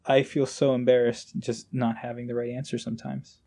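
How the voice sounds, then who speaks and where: A man with a soft-edged, mellow voice talks casually as a podcast guest. His pitch moves little, and his manner is agreeable and self-deprecating, as heard over an internet call. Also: fairly even intonation, a little slow, vocal fry. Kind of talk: casual remote chat.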